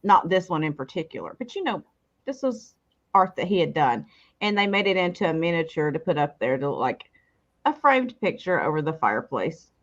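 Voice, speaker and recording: A woman's voice: low-pitched, sing-song, a little fast, dull audio. A woman hosting a live vintage sale, chatting casually and laughing often. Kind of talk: casual live sales chat.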